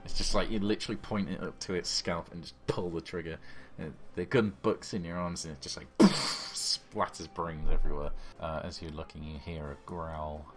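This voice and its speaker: A man's voice: low-pitched, fairly even intonation, normal speed, soft background music. A man running a tabletop horror game as game master, describing the action blow by blow with sound effects, on a voice call.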